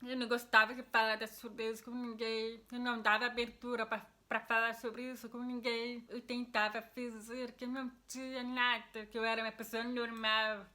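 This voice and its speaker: A woman's voice: fairly high pitch, flat delivery, normal speed, hardly any pauses, pushed and bright. A young Brazilian woman with the speech of a deaf person, which others hear as a foreign-sounding accent. She is a vlogger explaining something earnestly and casually to the camera. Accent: Brazilian Portuguese. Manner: earnest, explanatory, with frequent restarts.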